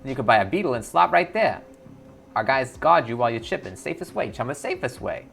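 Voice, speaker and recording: A man's voice: mid-range voice, big pitch swings, brisk, vocal fry, fairly bright tone, soft background music. A man voicing a twitchy orc drug dealer in a game, with repetitive, slangy sales patter. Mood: sly, excited.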